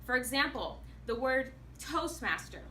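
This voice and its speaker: A woman delivers an animated prepared speech. Her voice is bright, forceful and fairly high. It sounds like it was caught from across an echoey meeting room, with faint noise underneath. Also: unhurried, distant mic. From United States. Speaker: public speaker, actor and singer.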